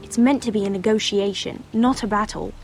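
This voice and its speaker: A woman's voice: mid-range voice, fairly even intonation, brisk, over music, close to an everyday voice, low-quality recording. A woman playing an earnest, anxious young noble sister in a dramatic video game, with a pleading manner. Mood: concerned.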